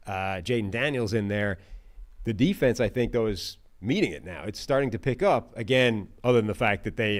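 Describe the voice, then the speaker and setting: A man co-hosting a sports podcast, giving casual, emphatic football analysis with lots of "like" and "right?". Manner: animated, emphatic, pitch jumping on key points; frequent fillers like "like" and "right?". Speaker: co-host.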